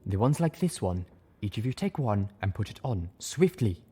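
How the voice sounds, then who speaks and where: A man voicing a calm zebra mare who mothers the young ones, giving soft, measured instructions. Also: fairly low pitch, husky.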